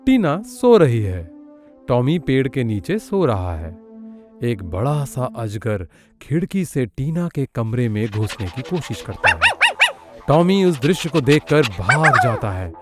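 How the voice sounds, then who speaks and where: Guy narrating a kids cartoon story, very expressive pitch, light music underneath. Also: takes it slowly.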